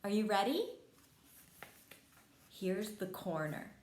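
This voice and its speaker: A woman reading a storybook aloud for young children, with expressive, simple child-directed talk. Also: mid-range voice, slow, slight background noise. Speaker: pre-K read-aloud host. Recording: echoey room.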